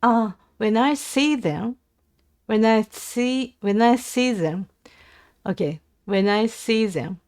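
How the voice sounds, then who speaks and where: A Japanese woman with a Japanese accent, practising language aloud on a casual livestream in a halting, hesitant way. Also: a little high, darkish tone, no echo, studio quality. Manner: hesitant, halting English with self-corrections. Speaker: live streamer learning English. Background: computer keyboard typing and clicking.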